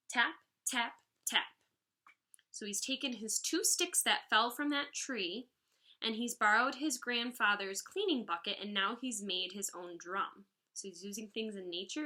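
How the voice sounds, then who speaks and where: A woman reads a children's picture book aloud in a fairly high, very bright voice. Her delivery is expressive and instructive, like a music teacher guiding young pupils through the story. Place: living room at home, indoors. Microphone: device mic about arm's length away.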